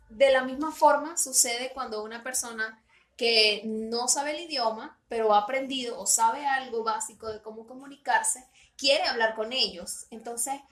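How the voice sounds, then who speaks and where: A woman's voice: a little high, a bit bright, roomy sound. A woman from Peru co-hosting a casual podcast about learning English, talking with frequent hesitations and fillers. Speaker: podcast co-host.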